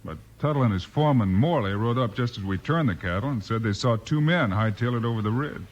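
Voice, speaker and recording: Male actor as American frontier lawman, steady, authoritative, 1950s radio western, dull lo-fi sound, faint noise. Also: medium pitch, quick pace, natural voice. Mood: serious. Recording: muffled old radio recording, light noise.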